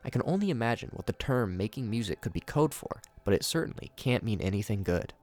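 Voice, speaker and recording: A man's voice: fairly low pitch, expressive, fast talker, darkish tone. A man hosting a video, reading scripted narration mixed with his own commentary. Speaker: video host reviewing horror shorts.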